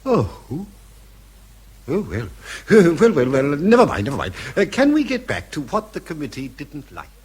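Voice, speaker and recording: An actor playing a wily old professor in a radio drama, hesitant and repeating himself with feigned vagueness. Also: male voice, a little high, wildly swooping pitch, moderate pace, darkish tone, breathy edge, full character voice. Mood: slyly mocking. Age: older. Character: wily old professor, hesitant, slyly needling, senior scientist.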